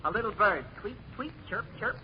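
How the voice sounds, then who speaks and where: An actor playing a furtive prison informant in a 1930s radio comedy. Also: male voice, sits high, expressive, normal speed. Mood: secretive. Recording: muffled, slightly hissy old radio.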